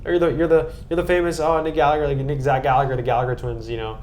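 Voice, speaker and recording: A man's voice: a little high, flat delivery, fast, darkish tone, slight background noise. An American man chatting casually and earnestly on a podcast, with an enthusiastic manner. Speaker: podcast guest and co-host recapping a trip.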